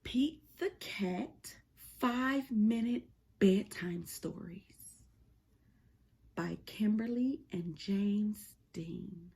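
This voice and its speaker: A woman reading a children's picture book aloud in an animated, deliberate storytelling manner. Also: medium pitch. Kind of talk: expressive scripted read-aloud of a picture book.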